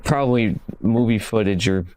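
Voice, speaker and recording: A man's voice: lowish voice, moderate pace, a little mellow. A man hosting a gaming video, speaking informally as he comments on games and reads their text aloud. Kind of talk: casual game commentary and reading game text aloud.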